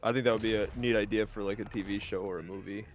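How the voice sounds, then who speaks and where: A man's voice: on the low side, steady tone, fast. A man taking part in a casual round table, mulling his answers aloud in a hesitant way. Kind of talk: casual chat.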